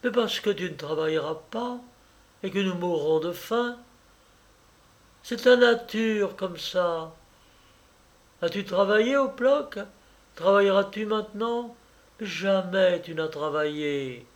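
A man reading aloud and voicing a capricious, tearful young woman who coaxes her lover for money, in a slow storybook reading. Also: high-pitched, animated, pitched above the performer's own voice. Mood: coaxing. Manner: pleading, wheedling, sobbing.

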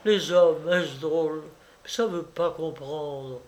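A man reading aloud and voicing a capricious, tearful young woman who coaxes her lover for money, in a slow storybook reading. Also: on the high side, a little mellow. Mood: coaxing. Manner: pleading, wheedling, sobbing.

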